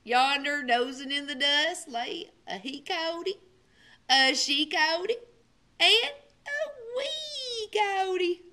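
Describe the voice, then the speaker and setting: Children's storyteller, woman: unhurried, bright, theatrical, highly expressive delivery. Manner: theatrical, emphatic, with hushed dramatic drops. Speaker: librarian storyteller. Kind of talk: animated children's storybook narration.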